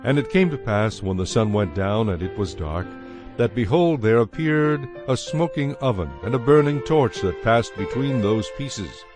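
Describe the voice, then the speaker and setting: A man narrates scripture solemnly over clear background music. His voice is mid-pitched and the delivery is measured and scripted, like a dramatized audio Bible. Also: animated.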